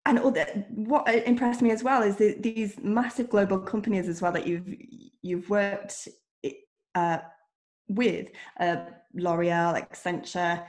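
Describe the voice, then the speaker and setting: A woman's voice: medium pitch, steady tone, unhurried, darkish tone. A woman hosting an interview, introducing her guest's credentials in a friendly, admiring way. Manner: friendly, admiring, laughs easily. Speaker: interview host.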